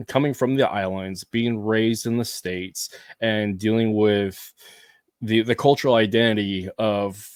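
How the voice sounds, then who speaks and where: A man's voice: on the low side, clean tone, dry recording, clean studio sound. A man hosting a casual podcast interview, asking long thoughtful questions and adding short backchannels. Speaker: podcast host.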